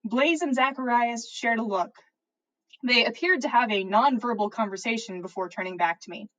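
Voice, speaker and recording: Higher-voiced woman reading a story. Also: normal speed, a bit bright, poor audio. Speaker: podcast host reading fan fiction. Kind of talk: expressive story reading on a livestream.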